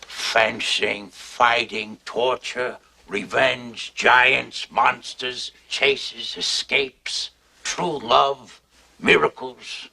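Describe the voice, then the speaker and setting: An actor playing an old storytelling grandfather in a film, with a gravelly, husky voice. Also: medium pitch, steady tone, drawn-out words, pushed and bright, full character voice. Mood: relishing.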